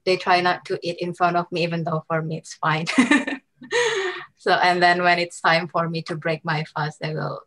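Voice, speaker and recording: A woman's voice: on the low side, little pitch movement, normal speed, a bit bright. A young woman from Indonesia, a graduate student, answering earnestly on a video call through a headset. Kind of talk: panel interview answers.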